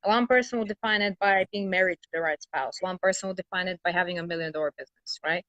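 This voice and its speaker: A woman's voice: fairly low pitch, fast, a bit bright, dry recording, lo-fi sound. A woman from Bulgaria, telling her story as an interview guest in a candid, sardonic way, swearing freely. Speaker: interview guest, brand strategist.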